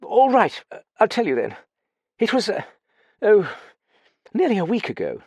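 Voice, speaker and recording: A male narrator acts out a plump, naive young gentleman in a storybook. The voice is pitched high and swings up and down. He hesitates and stammers, sounding sheepish and a little foolish. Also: wildly swooping pitch, normal speed, husky, no room sound, exaggerated voice. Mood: chatty, sheepish. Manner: hesitant, stammering upper-class slang.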